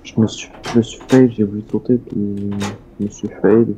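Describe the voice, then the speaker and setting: Low-ish quebec dude messing around in a game, goofy, music in the back. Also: male voice, little pitch movement, normal speed. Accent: Québécois French. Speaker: co-op gaming partner. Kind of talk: casual co-op gaming chat.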